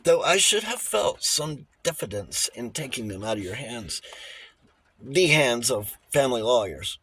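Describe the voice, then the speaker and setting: A man's voice: medium pitch, very animated, a little slow, fairly bright tone, slight background noise, low-quality recording. A man voicing a little old bachelor lawyer in an audiobook, formal and precise, shifting between diffidence and relish for a fight. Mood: pleased, formal. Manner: hesitant stammers giving way to precise, emphatic pronouncements.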